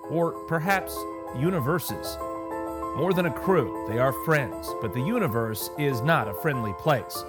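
An actor playing a grave storyteller, narrating an audio drama in a scripted, dramatic style. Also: male voice, medium pitch, animated, measured pace, loud music behind the voice, lightly acted. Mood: grave, ominous. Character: grave storyteller introducing a space adventure.

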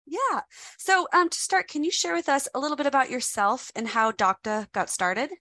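A woman's voice: high voice, normal speed, bright, forceful tone, no room sound. A woman hosting a business podcast interview over a call, upbeat and speaking with some fillers. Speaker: podcast host. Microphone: remote call.

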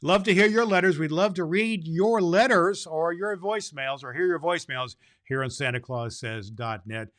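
A man's voice: a little high, moderate pace, few pauses, a bit bright. A man hosting a children's podcast in the role of Santa Claus, reading a storybook chapter aloud with grandfatherly chuckles.

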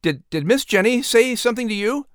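A man's voice: extremely high pitch, lively intonation, a little fast, no echo, clean studio sound, voice pushed up. A man playing a nervous, fretful announcer in comic children's podcast banter, stammering and flustered. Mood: worried.

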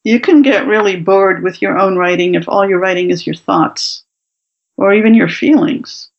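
A woman from Morocco who teaches writing workshops, speaking as a guest in an interview in a thoughtful, measured way. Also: medium pitch, vocal fry, highs cut off. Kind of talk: interview, reading her own prose aloud.

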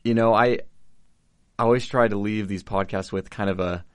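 A man's voice: on the low side, fairly even intonation, normal speed. A young man hosting a podcast, talking in a supportive way with many false starts. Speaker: podcast host. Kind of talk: casual conversation.

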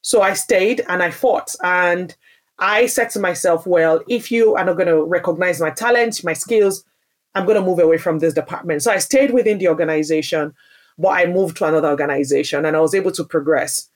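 A woman's voice: on the low side, fairly quick. A woman from Nigeria answering interview questions, speaking earnestly and emphatically about her career, often restarting her phrases. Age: adult, parent. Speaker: podcast guest, business founder. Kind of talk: interview answer, personal story.

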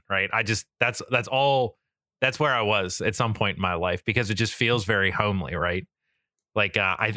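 A man's voice: fairly low pitch, sharp tone, dry recording, studio quality. A man co-hosting a podcast in a casual, informal chatty style. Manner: informal, frequently swearing. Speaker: podcast co-host.

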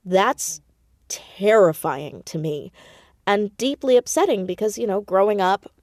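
A woman's voice: medium pitch, a little slow, darkish tone, no echo, clean studio sound. An American woman giving an interview in a reflective, conversational way, speaking earnestly and emphatically. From United States, New Jersey suburb. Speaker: interview guest, audio drama creator.

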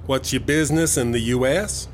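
A man with an American accent playing a suspicious, humorless border officer in a comedy audio drama, deadpan and official. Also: medium pitch, normal speed, natural voice. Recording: faint vehicle ambience noise.

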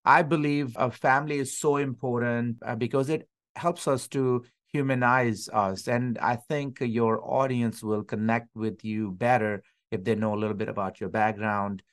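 A man in his thirties who hosts a podcast and is a senior pastor, interviewing a guest in a casual way with many pauses and fillers. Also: medium pitch, fairly even intonation. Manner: warm-up chatter with many uh fillers, slightly formal phrasing.

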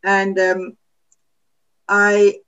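A woman's voice: mid-range voice, monotone, extremely slow, a bit bright, clear, resonant tone. Woman with a lo-fi sound. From South Africa. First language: Afrikaans. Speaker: podcast panelist. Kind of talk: casual chat.

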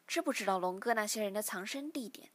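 A woman's voice: mid-range voice, expressive, quick pace, natural voice. A woman voicing a stern male mercenary captain, curt and coldly menacing.

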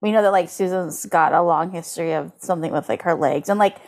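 A woman co-hosting a podcast, chatting casually in a halting way with lots of filler words. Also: fairly low pitch, a little mellow. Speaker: podcast co-host. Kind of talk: casual chat, animated recap.

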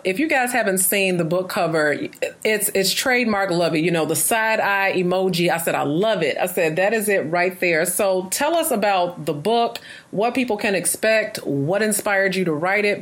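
An American woman hosting a casual interview in an enthusiastic, encouraging and slangy way. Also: lowish voice. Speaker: interview host.

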